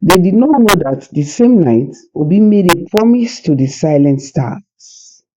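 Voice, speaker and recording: Dramatic folktale narrator, unhurried and really expressive, bit of hiss in the recording. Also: medium pitch, dull audio. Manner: slow, deliberate, suspenseful phrasing. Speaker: storyteller. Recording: hissy background noise.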